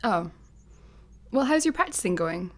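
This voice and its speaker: A woman's voice: medium pitch, very animated, measured pace, soft background music. A woman with a Scottish accent playing a blunt, guarded young adventurer with dry sarcasm in a sci-fi comedy radio play. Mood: casual. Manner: casual, dry.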